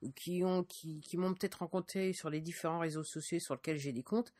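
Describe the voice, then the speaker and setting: A woman's voice: lowish voice, steady tone, fairly quick, a little mellow, no room sound. A woman from France, an independent musician, talking casually in a monologue full of fillers and restarts. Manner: hesitant, many fillers and restarts.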